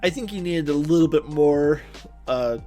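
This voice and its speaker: A man's voice: fairly high pitch, moderate pace, warm tone, soft background music. A man co-hosting a podcast, chatting casually about films and games in an enthusiastic, emphatic way. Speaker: podcast co-host.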